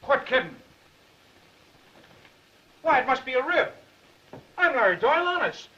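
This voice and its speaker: A man's voice: very high-pitched, big pitch swings, unhurried, pushed and bright, exaggerated voice. An actor playing a brash, wisecracking newspaper reporter down on his luck in a 1930s Hollywood film. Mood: indignant. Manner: cocky, wisecracking. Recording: muffled, noisy 1930s film soundtrack.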